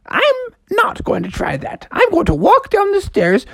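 Man putting on a whiny, high-pitched prim mom. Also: huge pitch swings, moderate pace, creaky, fairly bright tone, no echo, clean studio sound, exaggerated voice. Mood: prim, wary. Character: prim, cautious mother who whines when hurt. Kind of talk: children's story character voice.

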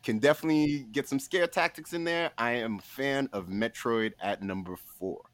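A man talking casually and comedically as a podcast guest, pitching a list with an emphatic, playful showman delivery. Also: normal speed, dry recording.

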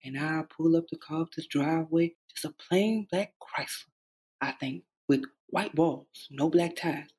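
A working-class father, played by a man shares big dreams with his young son in a stage monologue. His voice is husky and mellow, the delivery earnest, folksy and hopeful. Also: on the high side, measured pace. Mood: dreamy, proud. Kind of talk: dramatic stage monologue reading.

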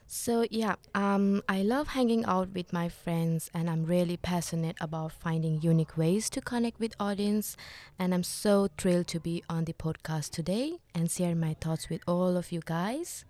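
A young woman from Nepal co-hosting a casual podcast, speaking in measured, slightly rehearsed phrases. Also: lowish voice, darkish tone, no echo. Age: young adult. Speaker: podcast co-host. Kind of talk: casual chat.

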